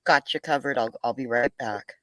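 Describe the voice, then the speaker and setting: Cohost lining up clips, brisk n practical, bright tense voice, dry sound. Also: medium pitch, no room sound. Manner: brisk and practical, announces what comes next. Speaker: panel co-host handling clips. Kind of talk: casual live-stream chat.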